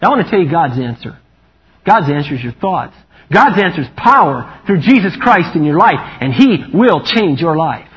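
A man preaching a lively, humorous sermon, animated and playful, acting out quotes in a higher voice. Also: moderate pace, husky. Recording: muffled lo-fi recording.

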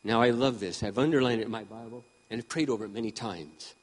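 A man around sixty preaching a sermon with impassioned emphasis, swinging between loud peaks and quiet asides. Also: on the low side, quick pace, slightly rough, big-room sound, poor audio. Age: 60s. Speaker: pastor.

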